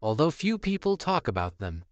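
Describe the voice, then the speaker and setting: A male narrator delivers scripted documentary voice-over in a measured, dramatic way. His pitch moves around a lot, giving the phrases an animated lift. The sound is dry and close, with no room echo. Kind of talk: scripted documentary-style narration for a video countdown.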